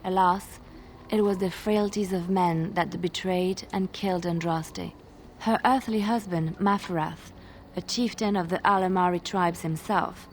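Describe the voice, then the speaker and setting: An actress playing an earnest, devout young bard who solemnly tells sacred legends in a fantasy video game. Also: female voice, lowish voice, slight background noise, close to an everyday voice, poor audio.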